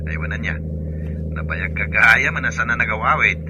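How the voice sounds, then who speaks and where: Actor as animated Ilocano father, noisy call. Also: on the low side, a little slow. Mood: sheepish. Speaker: radio drama actor. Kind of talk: Ilocano radio drama acting.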